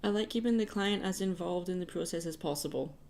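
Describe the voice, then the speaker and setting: Creaky-voiced woman chatting casually on a livestream. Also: on the low side, quick pace, darkish tone, a little hiss or hum. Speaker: digital artist livestreaming. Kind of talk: casual livestream chat while drawing.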